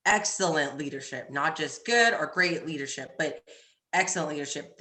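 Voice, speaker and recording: A woman's voice: low-pitched, pushed and bright. A woman with an American accent speaking as a podcast guest in casual, candid conversation, with a roomy, echoey sound. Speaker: podcast guest, army staff sergeant.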